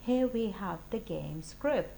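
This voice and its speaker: Storybook schoolmaster, played by a woman: mild family man with a cheerful scheme, slow and expressive delivery. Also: mid-range voice, creaky, a little hiss or hum, low-quality recording. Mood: showing round.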